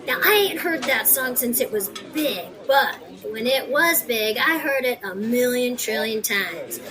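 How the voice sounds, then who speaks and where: A woman's voice: fairly high pitch, lively intonation, unhurried, noisy background. A woman playing a talkative, emphatic gangster in an audio drama. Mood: chatty. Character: chatty, incredulous, sociable gangster. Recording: busy crowd chatter behind.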